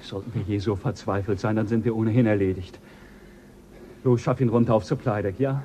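An actor playing a level-headed ship's doctor who leads the crew and gives brisk orders in a radio play. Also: male voice, lowish voice, steady tone, warm tone, slight background noise, close to an everyday voice.

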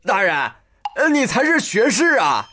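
An actor playing a goofy, eager junior patrol officer in a comedy radio drama, breezy and folksy with small stammers. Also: male voice, very high-pitched, very animated, moderate pace, background noise. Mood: placating.

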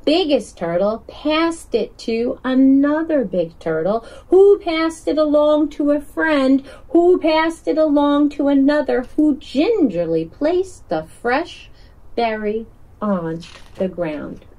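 A woman narrating a children's picture book in a deliberate, expressive storytelling manner. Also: high-pitched, poor audio. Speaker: picture-book author reading aloud.

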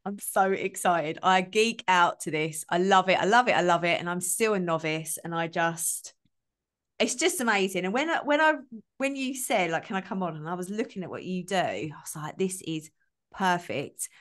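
A woman's voice: on the low side, lively intonation, normal speed, a bit bright. Gushing, enthusiastic woman podcast host. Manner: gushing, enthusiastic, repeats herself. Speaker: podcast host, self-belief coach. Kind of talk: casual interview chat. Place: bright home office. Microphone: studio desk mic on a video call.

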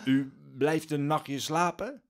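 A man's voice: a little high, slow pace. An actor playing a poor, wary, plain-spoken peasant father in a radio drama, blunt and firm.

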